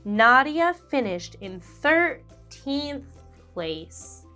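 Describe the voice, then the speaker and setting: A woman reading a children's picture book aloud, slow, clear and expressive, as host of a read-along show. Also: sits high, soft background music. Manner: animated, slow and clear storytelling.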